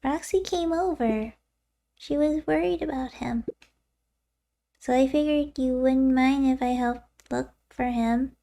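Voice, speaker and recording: Woman voicing a high-pitched, gentle young boy. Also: measured pace, gravelly. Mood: anxious, hesitant. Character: earnest, anxious young boy. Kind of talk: video game character dialogue read aloud.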